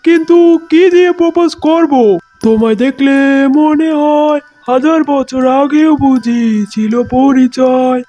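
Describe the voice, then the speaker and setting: A woman's voice: sits high, unhurried, dark tone, faint music behind, pitched below the performer's own voice. A woman playing a greedy, lovesick and scheming male fox in a cartoon, in a theatrical manner. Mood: lovestruck. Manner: theatrical, gushing. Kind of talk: cartoon voice acting.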